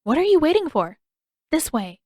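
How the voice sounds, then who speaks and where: A woman's voice: high voice, big pitch swings, normal speed, a little mellow, no room sound, studio quality, voice pushed up. A woman voicing a bold teenage warrior girl with a blunt, challenging manner in a fantasy story. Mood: defiant, brisk.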